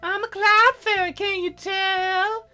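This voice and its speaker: A man putting on a squeaky, bratty fairy girl. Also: flat delivery, takes it slowly, sharp tone, soft background music, exaggerated voice. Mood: proud, insistent. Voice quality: squeaky falsetto. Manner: petulant, demanding. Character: bossy, bratty young cloud fairy girl, easily offended.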